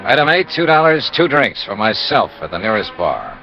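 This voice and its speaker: A man's voice: on the low side, wildly swooping pitch, normal speed, gravelly, music in the background, full character voice. An actor playing a persistent, wry American insurance investigator in a classic radio detective drama, clipped and dry in his delivery. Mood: dry, narrating. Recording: dull, muffled old radio recording.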